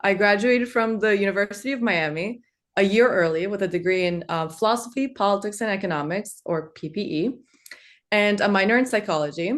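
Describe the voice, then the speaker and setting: A woman in her twenties, born in Turkey with Turkish as her first language, speaking earnestly on a webinar panel with frequent ums and uhs. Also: medium pitch. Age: 20s. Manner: earnest, fills pauses with um and uh.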